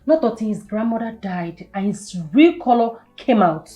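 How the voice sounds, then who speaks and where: A humiliated young princess, played by an actress in a film melodrama. Her voice is high and moves up and down widely, with petulant, emphatic repetition and a quick, vengeful temper. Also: lively intonation, warm tone, reverberant, soft background music, natural voice. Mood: regretful, frustrated. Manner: emphatic, repeats herself, petulant.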